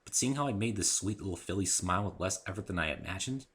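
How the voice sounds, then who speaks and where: A man reads first-person fiction aloud in a dramatic storytelling style. His voice sits very low, yet has a sharp, forceful tone. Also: quick pace. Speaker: author reading own story.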